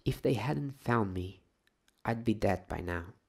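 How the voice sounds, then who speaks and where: A man reading as a meek, creaky schoolgirl. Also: fairly low pitch, expressive, a little slow, a little mellow, heavily acted. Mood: meek, earnest. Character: small-voiced young schoolgirl, earnest and apologetic. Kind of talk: storybook reading.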